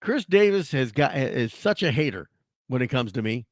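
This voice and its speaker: A hoarse man hosting a sports talk show, ranting in a casual, animated way with frequent restarts. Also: medium pitch, moderate pace, dry recording, clean studio sound.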